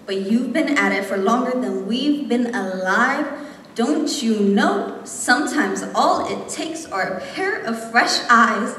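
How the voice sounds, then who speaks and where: A woman's voice: fairly high pitch, lively intonation, takes it slowly, fairly bright tone. A young woman reciting slam poetry with an impassioned, rhythmic, emphatic delivery. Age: young adult. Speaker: slam poet. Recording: echoey hall, distant mic, faint noise.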